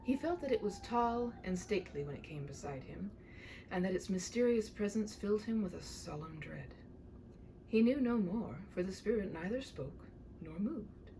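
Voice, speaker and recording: A woman hosting a read-aloud, moving between playful chat and dramatic, animated storybook narration. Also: mid-range voice, moderate pace, slight background noise, rough recording. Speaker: channel host reading a classic aloud. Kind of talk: chatty host talk and expressive storybook narration.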